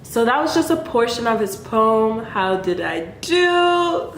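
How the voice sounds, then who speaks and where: Slow, easygoing young woman vlogger. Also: on the high side, very animated, slight background noise. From Nigeria. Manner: chatty and playful, laughs between remarks. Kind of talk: casual vlog chat. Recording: echoey room.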